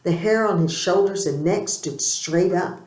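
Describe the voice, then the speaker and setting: A woman reads a story aloud in the manner of an audiobook narrator. Her voice sits a little low for a woman. The recording is noticeably roomy, with a clear echo around the words. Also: a little slow, darkish tone. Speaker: audiobook reader. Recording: roomy, echoing amateur recording.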